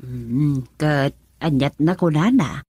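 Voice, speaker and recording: Woman playing a slow, husky old wife. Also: low-pitched, dark tone, no echo, studio quality, heavily acted. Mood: worried. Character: elderly wife speaking with her old husband. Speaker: radio drama actor. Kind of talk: radio drama acting.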